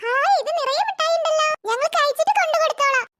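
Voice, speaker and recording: Tiny squeaky cartoon kid, super high voice, cheeky and candy-mad. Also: animated, quick pace, noisy background. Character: Paru, cheeky sweet-loving little child. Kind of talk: Malayalam family cartoon dialogue.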